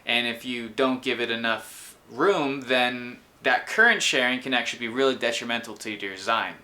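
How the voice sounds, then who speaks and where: Male host, twenties, bright tone, mid pitch, informal technical explainer. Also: moderate pace. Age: 20s. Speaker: host explaining his electronics project. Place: small room indoors.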